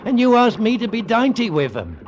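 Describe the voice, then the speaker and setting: An actor playing a hard, callous ship's first mate in an old radio drama, with rough speech and a sardonic manner. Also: male voice, high voice, huge pitch swings, moderate pace, lo-fi sound, loud music behind the voice. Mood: menacing.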